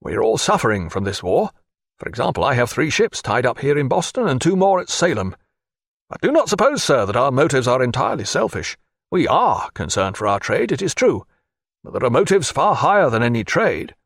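A man voicing a fretful, excitable middle-aged merchant who pleads and grumbles in a raised, fussy voice. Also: mid-range voice, brisk, dry recording, studio quality. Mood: earnest. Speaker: former loyalist and merchant.

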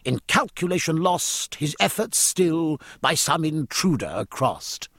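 Pompous young naval versifier acted by a male reader, high, declamatory, swooping storybook delivery. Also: very animated, slow, fairly bright tone, husky, dry recording, clean studio sound, exaggerated voice. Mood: proud, declamatory. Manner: declamatory, mock-grand. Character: pompous, proud young midshipman reciting his own verse. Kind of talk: audiobook character acting.

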